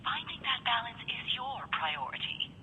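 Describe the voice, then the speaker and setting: An actress playing a cold, commanding corporate executive in a video game, curt and condescending. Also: female voice, a little high, sing-song, moderate pace, sharp tone, highs cut off, a little hiss or hum, exaggerated voice. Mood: stern. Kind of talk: video game cutscene acting.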